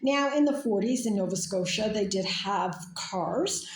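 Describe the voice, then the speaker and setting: A woman's voice: medium pitch, expressive, measured pace, roomy sound. A woman from Canada talking in a casual, rambling monologue, full of fillers and restarts. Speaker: speaker.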